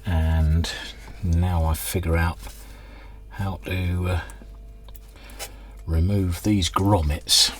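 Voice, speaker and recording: A man's voice: low voice, level tone, extremely slow. A man giving casual hands-on workbench commentary, hesitantly thinking aloud as he works. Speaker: host of a radio restoration channel.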